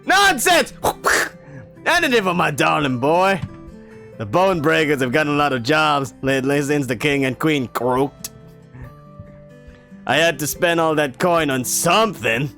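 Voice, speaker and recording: A man voicing a boisterous, burly mercenary father who talks loudly and jovially, with drawn-out exclamations. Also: a little high, very animated, soft background music, exaggerated voice.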